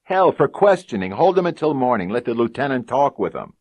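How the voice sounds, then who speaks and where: A man voicing a gruff, grumbling fat policeman, blunt and insistent, in a hardboiled detective audiobook. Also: medium pitch, very animated, a little fast, darkish tone, no echo, pitched above the performer's own voice.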